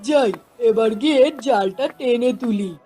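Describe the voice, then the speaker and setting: A woman as a plaintive, mellow poor village fisherman. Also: a little high, normal speed, faint music behind, natural voice. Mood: hopeful. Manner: talking to himself, exclaiming and lamenting. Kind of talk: cartoon fairy tale character dialogue.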